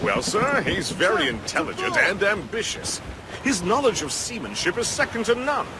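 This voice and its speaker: A man's voice: sits high, sing-song, moderate pace. A man playing a jovial, talkative seafaring first mate in a video game cutscene, chatty, colourful and hearty. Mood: jovial, informative. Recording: clear outdoor ambient noise.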